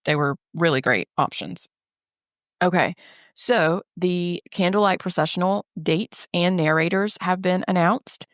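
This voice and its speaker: A woman co-hosting a casual travel podcast, sounding slightly nasal and stuffed up from a head cold. Her voice sits a little low. The sound is dry and close, with no room echo. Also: unhurried. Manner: runs through lists in a steady, even delivery. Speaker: podcast co-host and travel agent.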